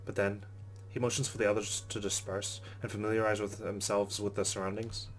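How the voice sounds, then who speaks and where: Male let's play host, low voice, rapid pace, reading story narration with commentary. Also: little pitch movement, soft background music.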